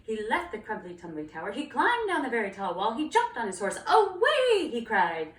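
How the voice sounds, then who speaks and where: A woman's voice: a little high, wildly swooping pitch, fast. A woman narrating a children's storybook with dramatic, emphatic storytelling. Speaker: storybook reader, cantor. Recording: roomy, distant mic, faint noise.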